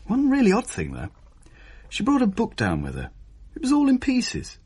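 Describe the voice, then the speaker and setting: A man's voice: high voice, huge pitch swings, moderate pace, a little mellow, breathy edge, exaggerated voice. An actor playing a wry young English fiancé, telling his story conversationally in a radio play. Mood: wry, chatty. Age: young adult. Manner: wry, matter-of-fact storytelling. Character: wry young fiancé recounting a chaotic haunted night.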